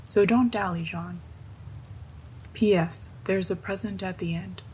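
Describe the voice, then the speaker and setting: A woman's voice: fairly low pitch, fairly even intonation, a little slow, a little mellow, a little hiss or hum, lightly acted, poor audio. A woman playing an affectionate, playful wife reading out her notes to her husband in a narrated story. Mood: fond, playful.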